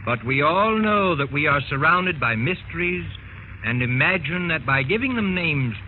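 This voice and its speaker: Actor as a grave scholarly investigator, thin old radio. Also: male voice, fairly high pitch, expressive, normal speed, narrow, tinny audio, a little hiss or hum, natural voice. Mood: solemn, reflective. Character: grave, learned male investigator recounting horrors. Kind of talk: old-time radio drama acting.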